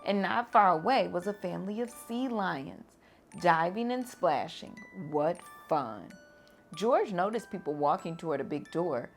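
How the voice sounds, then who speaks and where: A woman reading a children's picture book aloud to kids in a gentle, expressive storytime manner. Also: lowish voice, a little slow, faint music behind. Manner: gentle, expressive, lively on exclamations. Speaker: storytime reader.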